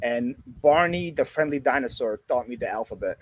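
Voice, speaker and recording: A man's voice: mid-range voice, expressive, moderate pace. A man in his thirties from Ukraine with a Ukrainian accent tinged with Philadelphia, hosting a casual chat and joking and laughing at his own lines. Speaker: host.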